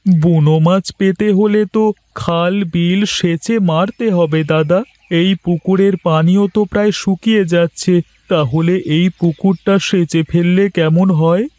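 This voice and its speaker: A man's voice: sits high, steady tone, unhurried, a little mellow, dry recording. A man voicing an eager, obliging young village helper in a cartoon. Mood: friendly. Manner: eager, deferential. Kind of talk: cartoon voice acting.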